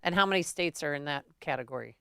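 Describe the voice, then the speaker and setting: A woman co-hosting a talk radio show, asking brisk questions of a guest. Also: deep voice, lively intonation, creaky, pushed and bright, clean tone, dry recording, clean studio sound. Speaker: radio co-host.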